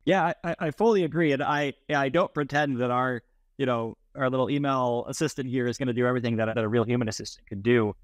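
A middle-pitched man of about forty talks as an interview guest. He explains in a conversational way, and the recording is dry and free of room echo. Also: fast. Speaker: startup founder, interview guest. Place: indoors on a video call, plain room.

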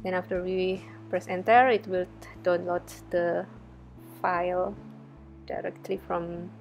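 A woman's voice: lowish voice, flat delivery, takes it slowly, creaky, dull audio, soft background music. A young woman from Indonesia, a research fellow, talking viewers through a coding tutorial over a screen recording in a chatty way with many fillers. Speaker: research fellow teaching data analysis.

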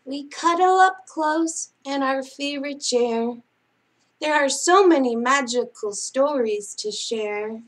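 A middle-aged woman hosting a children's story time, speaking and reading aloud in a gentle, singsong way to young children. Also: high voice, takes it slowly. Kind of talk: children's picture-book read-aloud. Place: small indoor space hung with curtains and string lights.

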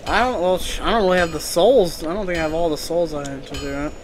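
A young adult man in casual, low-key gaming chat with a friend, easygoing in manner. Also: fast talker, crowd noise, low-quality recording. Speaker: gamer recording a let's play. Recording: clear game music behind voice. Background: game music, metal clinking.

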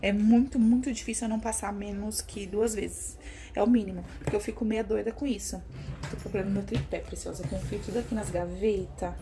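A woman's voice: medium pitch, fairly even intonation, a little fast. A young woman with a Brazilian accent chatting casually to the camera as a vlogger, addressing viewers with pet names. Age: young adult. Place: small rooms at home, indoors. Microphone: phone at arm's length. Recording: noisy handheld home recording.

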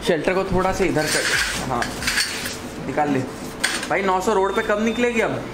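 Higher-voiced young Indian man vlogging over loud noise. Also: animated, normal speed. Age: young adult. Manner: mixes English words into Hindi. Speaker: motorbike vlogger. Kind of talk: casual vlog chat. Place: covered open-air home driveway. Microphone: handheld camera at arm's length. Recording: loud outdoor street noise.

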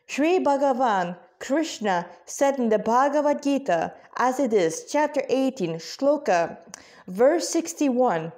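Woman voicing a high-voiced devout warrior mother. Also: expressive, slow, darkish tone. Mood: solemn, instructive. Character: devout mother warrior, wise, quick to take offence.

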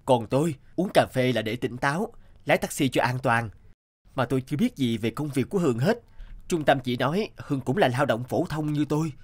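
A man's voice: mid-range voice, lively intonation, a little fast, natural voice. A man voicing a straightforward working-class taxi driver in a cartoon. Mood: curious, plain-spoken. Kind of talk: cartoon voice acting. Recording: music behind the voice.

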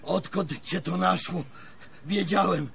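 An actor playing a weary, grumbling old grandfather in a radio play, fatalistic but fond, with a slow folksy lament. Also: sits high, fairly bright tone, exaggerated voice. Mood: resigned, confiding. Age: older. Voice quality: creaky. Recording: music under the voice.

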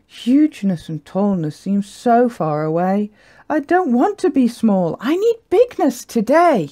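Storybook little yak, female, wistful but plucky, mellow and very animated; performed by a woman. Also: fairly high pitch, unhurried, pitched above the performer's own voice. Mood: sad longing. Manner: expressive, sing-song rhyming delivery. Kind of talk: children's picture-book character voice.

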